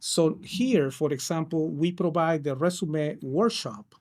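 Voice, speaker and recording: A man speaks in a somewhat high voice. He answers as a school counselor. His talk is hesitant and full of fillers and restarts. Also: measured pace, darkish tone. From Peru. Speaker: case manager counselor. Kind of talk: interview answers.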